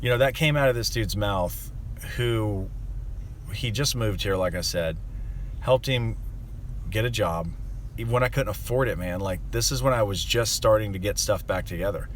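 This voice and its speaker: Low-voiced man venting, rambling. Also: normal speed, a little hiss or hum. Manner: rambling and aggrieved, many fillers. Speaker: landscaping business owner. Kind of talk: casual monologue to camera.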